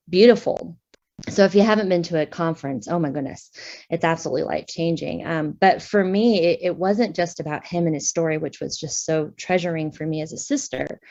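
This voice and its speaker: A woman with a somewhat low voice speaks candidly and conversationally, often pausing on fillers as she talks to a conference audience. The audio is dull and lo-fi. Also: a little mellow. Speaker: speech pathologist. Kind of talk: personal talk at a conference session.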